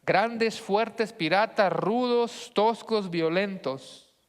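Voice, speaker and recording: A man's voice: high-pitched, very slow. A man with a northern Mexican accent preaching as a pastor, teaching conversationally and often checking in with questions. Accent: northern Mexican Spanish. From Monterrey, northern Mexico. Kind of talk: teaching sermon to a congregation. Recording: long hall reverb.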